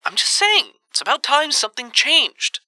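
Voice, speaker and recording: A woman's voice: high-pitched, sing-song, moderate pace, bright, forceful tone, no echo, heavily acted. A woman playing an alarmist, prejudiced pundit in a broadcast debate, speaking with insistent, scornful rhetoric. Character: alarmist, prejudiced talk show pundit railing against mutants. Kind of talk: heated broadcast debate acting.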